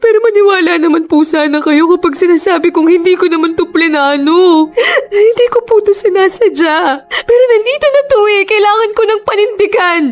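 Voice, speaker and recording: An actress in a Tagalog radio drama playing a guilt-ridden, emotional young pregnant wife and daughter. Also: female voice, extremely high pitch. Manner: emotional, pleading, melodramatic.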